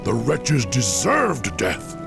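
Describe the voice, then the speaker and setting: An actor playing a proud, bloodthirsty veteran orc chieftain in a video game, with a brooding, menacing growl. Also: male voice, huge pitch swings, slow pace, exaggerated voice. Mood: defiant, resentful. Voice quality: very deep, gravelly growl. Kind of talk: video game cinematic acting. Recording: game music behind the voice.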